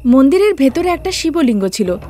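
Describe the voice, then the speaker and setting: A woman's voice: high voice, big pitch swings, brisk, dark tone. A woman narrating a scripted cartoon story as a storyteller. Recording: music bed under the voice.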